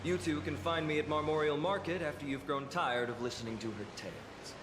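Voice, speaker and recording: An actor voicing a courteous, dutiful warrior lord in a video game, with formal, composed phrasing. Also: male voice, on the high side, fairly quick, background noise, natural voice. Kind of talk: scripted video game voice acting. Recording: roomy echo under loud game music.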